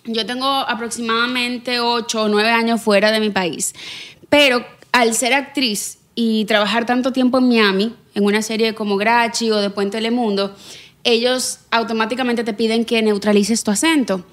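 A woman from the Dominican Republic with a softened Dominican accent, chatting as a podcast guest in a lively, slightly tipsy way. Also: fairly high pitch, normal speed. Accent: Dominican, softened toward neutral. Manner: lively, laughing, a bit tipsy. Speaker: guest actress and singer. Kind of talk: casual podcast chat.

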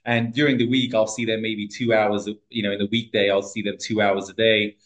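A man's voice: lowish voice, flat delivery, fairly quick, rough recording. A man from Portugal in his thirties, a podcast guest telling his life story in a casual, hesitant way with many restarts and fillers.

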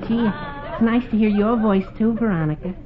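A man acting an excitable American teenage boy, high in pitch and whiny, stammering and pleading in broad 1940s radio comedy style. The sound is tinny and thin, with clear noise from an old broadcast. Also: normal speed, natural voice. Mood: smitten.

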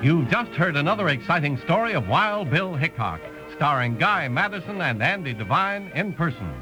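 Male announcer, bright, lively intonation, vintage radio credits, faint music behind. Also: a little high, normal speed, rough recording.

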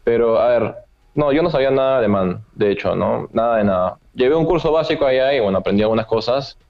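A man in a casual chat about travel, speaking in a measured way and often tagging his sentences with a questioning "right?". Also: mid-range voice, expressive. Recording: dull lo-fi sound.

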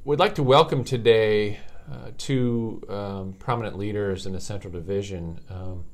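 Male podcast co-host, low-ish voice, upbeat with ums, soft music bed. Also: normal speed. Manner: upbeat, filler-heavy delivery. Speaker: podcast co-host, hospital medical officer. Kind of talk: scripted podcast intro.

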